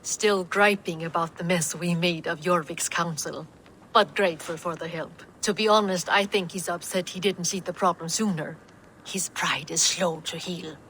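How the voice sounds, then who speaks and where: A woman's voice: on the low side, steady tone, normal speed, fairly bright tone, slight background noise, poor audio. Blunt Viking warrior woman. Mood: warm, loyal. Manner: blunt, plain-spoken. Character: blunt, loyal adult female Viking warrior from the north. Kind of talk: dramatic video game acting.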